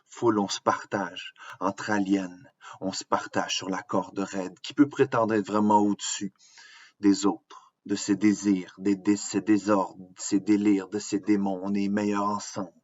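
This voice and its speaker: A man's voice: on the low side, level tone, unhurried, a little mellow. A man with a Quebec French accent reciting rhythmic spoken-word slam poetry. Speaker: slam poet. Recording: dull, lo-fi, dry close sound.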